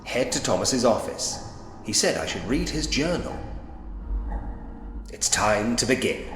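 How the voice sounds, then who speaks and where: A droll, old-fashioned gentleman investigator in a Victorian mystery game. He muses aloud theatrically, with an animated, swooping melody at a medium pitch. The voice rings in a long, roomy reverb. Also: unhurried, slight background noise. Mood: musing, purposeful. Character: gentlemanly male detective in a Victorian ghost mystery. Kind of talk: point-and-click game character voice acting, musing aloud.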